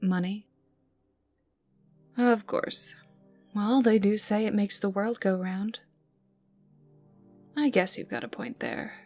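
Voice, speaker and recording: A woman playing a menacing, teasing young enforcer for a crime boss in an ASMR roleplay, taunting the listener in a breathy voice that dips toward whispers. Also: mid-range voice, steady tone, takes it slowly, pauses often, darkish tone. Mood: curious, teasing. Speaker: voice actor in audio roleplay. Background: ambient music and mild sound effects.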